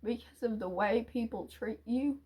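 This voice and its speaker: A woman in a confessional monologue, talking hesitantly and emotionally with many restarts and sighs, sometimes dropping to a quiet low mumble. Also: moderate pace, slightly rough. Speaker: YouTuber.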